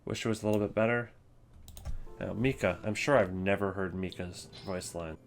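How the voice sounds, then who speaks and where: Low-voiced man, casual and hesitant. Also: normal speed, slight background noise. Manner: informal, hesitant, thinking aloud. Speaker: host ranking game voice lines. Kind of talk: casual commentary on a tier list.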